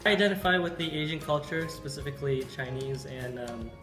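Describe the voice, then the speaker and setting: A young college man from California, a student athlete, answering in a measured, formal way. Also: on the high side, music in the background. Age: young adult. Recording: echoey room.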